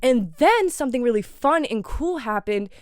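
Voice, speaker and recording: A woman's voice: fairly high pitch, animated, normal speed, dry recording, clean studio sound. A young woman hosting her own podcast, congested from a cold, talking in a rambling, candid way with lots of swearing and filler words. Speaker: podcast host. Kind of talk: casual solo podcast monologue.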